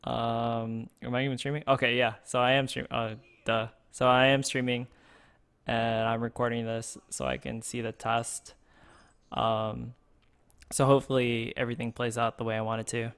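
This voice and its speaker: Man streaming, smooth mid voice. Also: medium pitch, little pitch movement, a bit bright. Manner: thinking aloud, halting with fillers. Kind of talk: casual gameplay streaming. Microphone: close desk microphone.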